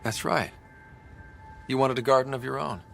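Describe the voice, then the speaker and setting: An actor playing a charming, teasing young space pathfinder, devoted and romantic, in a video game cutscene. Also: male voice, mid-range voice, fairly even intonation, normal speed, gravelly, faint music behind, close to an everyday voice. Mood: teasing, curious.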